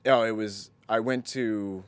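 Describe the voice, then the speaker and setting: Self-deprecating, rambling man, low dry voice. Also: steady tone, unhurried, smooth, no room sound. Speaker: podcast co-host. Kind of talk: casual banter and storytelling.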